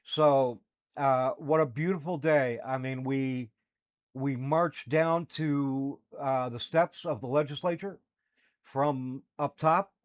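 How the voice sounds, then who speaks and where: A man's voice: medium pitch, fairly even intonation, slow pace. Rambling man co-hosting, muffled audio. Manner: rambling, many fillers and restarts. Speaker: podcast co-host. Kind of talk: casual chat.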